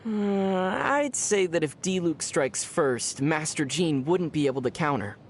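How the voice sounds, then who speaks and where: A woman playing a young male traveler hero in a video game, calm, dry and gently teasing. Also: sits low, unhurried, a little hiss or hum. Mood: debating.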